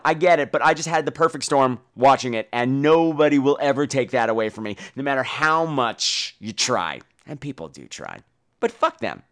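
A man hosting a podcast, giving casual, opinionated and profane film commentary in an animated way. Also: mid-range voice. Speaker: podcast host.

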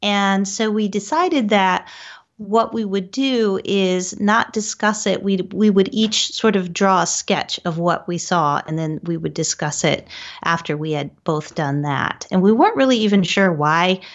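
A woman's voice: medium pitch, measured pace, highs cut off. A woman speaking as an interview guest, recounting her own paranormal experiences.

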